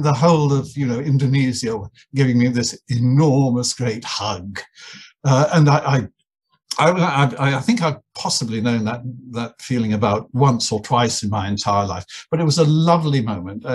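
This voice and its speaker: Husky older Englishman telling wry stories. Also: mid-range voice, darkish tone. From England. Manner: wry, self-deprecating, anecdotal with many restarts. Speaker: guest, anthropologist and writer. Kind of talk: relaxed storytelling interview.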